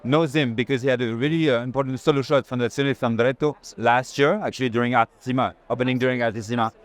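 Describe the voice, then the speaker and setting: A man giving a live curator's tour at an art fair, speaking haltingly and restarting phrases mid-thought. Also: mid-range voice, steady tone. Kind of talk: live walking tour conversation.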